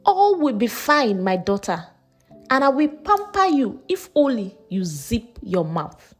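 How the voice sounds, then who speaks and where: A woman voicing a cruel, commanding uncle in a dramatized folktale, with a forceful, threatening manner. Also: a little high, sing-song, measured pace, faint music behind. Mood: coaxing. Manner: forceful, domineering, coaxing at times.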